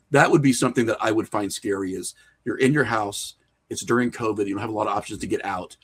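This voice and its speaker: A man's voice: fairly low pitch, husky. Middle-aged man, opinionated and rambling. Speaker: podcast guest, horror filmmaker and writer. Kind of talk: casual interview conversation.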